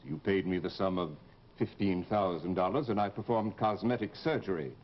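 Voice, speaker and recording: An actor playing a firm, polite plastic surgeon in an old-time radio horror drama, speaking in a formal, measured way. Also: male voice, deep voice, close to an everyday voice. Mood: firm, indignant. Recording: muffled, noisy old radio recording.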